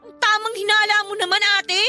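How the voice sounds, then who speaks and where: A woman's voice: extremely high pitch, flat delivery, fairly quick, music in the background. A woman playing a stubborn, wounded young student standing up to her older sister in a radio drama. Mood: exasperated, hurt. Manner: defensive, exasperated. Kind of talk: Tagalog radio drama acting.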